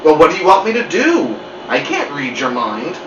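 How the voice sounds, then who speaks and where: A man acts out a nervous, literal-minded storybook dog. The pitch jumps high and swoops around in incredulous, flustered protest. It comes through muffled, from a little way off in a roomy space. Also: wildly swooping pitch, moderate pace, background noise. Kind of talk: animated children's story reading. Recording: muffled, roomy, distant mic.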